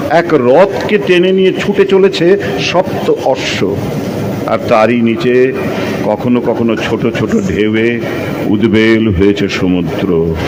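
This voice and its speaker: An actor playing a solitary, reflective lighthouse keeper in an audio play, narrating in literary with a measured, expressive delivery. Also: male voice, mid-range voice, background noise, lightly acted. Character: solitary, reflective Bengali lighthouse keeper telling his story. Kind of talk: literary first-person dramatic narration.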